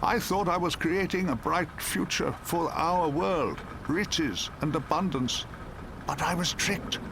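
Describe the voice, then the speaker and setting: A man voicing a dying old councillor in a bedtime story, weak, halting and labored, full of guilt and pleading. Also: a little high, pitched above the performer's own voice. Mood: regretful, urgent. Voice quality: breathy, labored. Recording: steady rain noise behind the voice.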